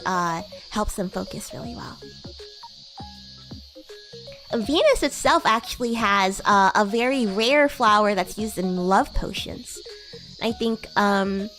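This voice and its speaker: A woman's voice: medium pitch, fairly bright tone, natural voice. A woman voicing a bratty, sweet young demon girl in casual roleplay chat, with hesitant, girlish delivery. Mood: chatty, explaining. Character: bratty, sweet young female demon classmate from another world. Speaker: VTuber streamer.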